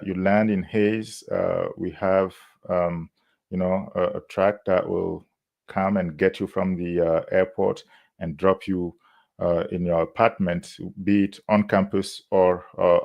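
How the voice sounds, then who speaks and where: A man from Burkina Faso, speaking English as a later language, giving measured advice as a university admissions officer, with many "uh" pauses. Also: sits low, little pitch movement, vocal fry, dull audio. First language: not English. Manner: measured, frequent "uh" fillers, warm laughs. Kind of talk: interview answers, advice to students.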